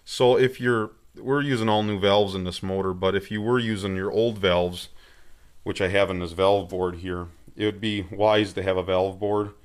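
A man with a low voice talks through a hands-on project in a plain, folksy way. He explains things informally and without hurry, recorded on a handheld camera in a workshop. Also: fairly even intonation, moderate pace, clean tone. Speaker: amateur tractor rebuilder presenting a project update.

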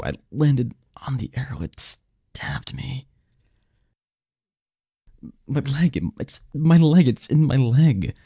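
A man playing a sardonic young research assistant in an audio roleplay, his voice very dark and gravelly. He talks haltingly and intimately, dropping at times into breathy tones, and the sound is close and dry. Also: medium pitch, no echo, exaggerated voice. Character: snarky, cynical young research assistant, flustered when hurt. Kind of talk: intimate one-sided audio roleplay acting.

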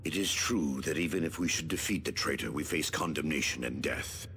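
An actor playing a devout, resolute space marine captain in a video game, with a very husky, rasping voice. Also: male voice, rumbling low, fairly quick, fairly bright tone. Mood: solemn resolve. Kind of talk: video game character acting.

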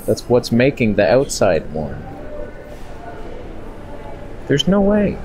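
A man in his thirties giving casual, joking walk-along vlog commentary into a handheld camera. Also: medium pitch. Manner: wry, joking asides. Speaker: vlogger. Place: on the move through a market and outdoors. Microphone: handheld camera at arm's length. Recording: noisy handheld camera with ambient noise.

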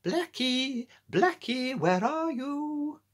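A man's voice: expressive, very slow, full character voice. A man voicing a feisty old lady who owns a cat, in a storybook reading. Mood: calling. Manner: high-pitched, calling out.

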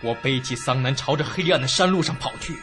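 A man's voice: mid-range voice, fast, lightly acted. An actor playing a terrified young man who narrates his own horror ordeal in a suspense radio drama, breathless and dramatic. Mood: tense narration. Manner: breathless, dramatic, swinging from hushed to panicked. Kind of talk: Chinese suspense radio drama acting and first-person narration. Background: dramatic background music.

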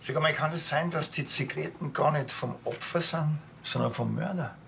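A man's voice: fairly high pitch, moderate pace, vocal fry, heavy background noise. An actor playing a grumpy, sardonic small-town policeman with a Bavarian accent and a dry delivery. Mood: matter-of-fact. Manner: dry, deadpan. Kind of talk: TV crime comedy acting.

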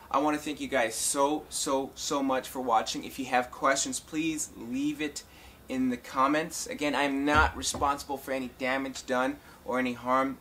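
A man's voice: medium pitch, fairly bright tone, a little hiss or hum. A man hosting an instructional video, talking casually and informally to his viewers as he explains a hands-on process. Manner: informal, addresses viewers as guys and folks. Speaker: talk box tutorial host, musician.

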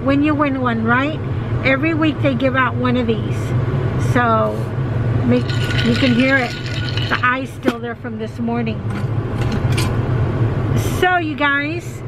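A woman's voice: high voice, slow pace, long pauses. A middle-aged woman, chatting casually as a delivery driver vlogging from inside a car. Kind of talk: casual vlog chat. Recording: car cabin with clear background noise.

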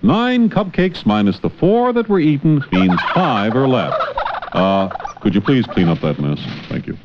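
A man's voice: medium pitch, sing-song, unhurried, highs cut off. Male educational-film instructor, questioning and encouraging, hugely varied intonation, noisy worn 16mm sound. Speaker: teacher, narrator. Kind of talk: educational film dialogue with a child. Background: clicks and heavy film noise.

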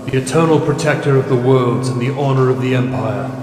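An actor playing a stern, imperious military marshal who interrogates formally and with authority in a sci-fi audio drama. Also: male voice, medium pitch, brisk, darkish tone, slight background noise, close to an everyday voice. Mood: formal, grave. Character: stern, imperious male military marshal presiding over a tribunal. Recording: echoey hall reverb.

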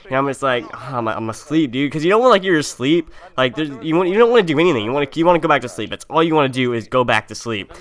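A young man with a medium-pitched voice narrates a personal story as a streamer would. His delivery is informal and full of slang, and he slips into quoted dialogue. He speaks close to the mic in an indoor room. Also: expressive, fast, few pauses, a bit bright. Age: young adult. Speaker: streamer telling a story over gameplay. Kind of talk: casual storytelling. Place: indoor room at a gaming setup.

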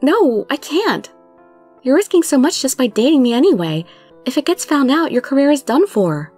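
A woman acts out a feisty young heroine of a romance tale. Her voice rises high and swings animatedly, emphatic and indignant, with hurt under the exasperation. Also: big pitch swings, normal speed, a little mellow, faint music behind. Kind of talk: dramatic romance story reading.

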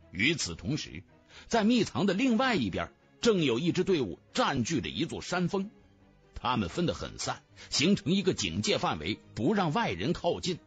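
A man reads fantasy fiction as a dramatic storyteller. His pitch is on the high side and swings very widely, with music playing behind him. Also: husky. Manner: theatrical, storytelling. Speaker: audiobook narrator. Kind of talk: dramatic scripted narration of a fantasy novel.

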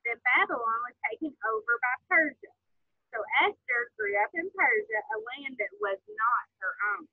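A woman in her thirties reading a story to children on a video call from home, in a lively, expressive way. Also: sits high, normal speed, slightly rough, dry recording. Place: home room with ceiling fan. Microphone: laptop on a video call. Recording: tinny video call audio.

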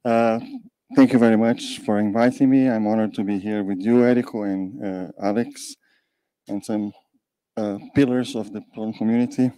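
An older man, a panel guest, giving a thoughtful, measured account of his history into a handheld mic in a meeting room. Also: on the low side, mellow, dry recording, poor audio. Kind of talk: panel conversation.

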